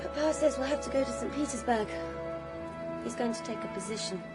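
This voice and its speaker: A woman's voice: on the high side, fairly quick, over loud music, natural voice. An actress playing an impulsive, dreamy young Russian countess, girlish and rapturous, in a radio drama.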